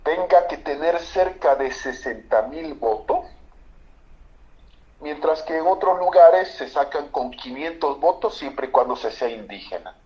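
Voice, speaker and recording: A man's voice: a little high, expressive, unhurried, mellow, muffled recording. A man from Bolivia, a lawyer, explaining constitutional law emphatically on a political talk show. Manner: emphatic, explanatory, repeats for stress.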